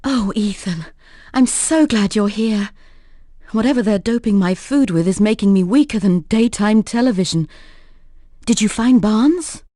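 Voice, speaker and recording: A woman's voice: medium pitch, lively intonation, a little slow, dark tone, no echo, studio quality. A woman playing a weakened but wry rescued secret agent in a video game. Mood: relieved, wry. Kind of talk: video game cutscene acting. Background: music.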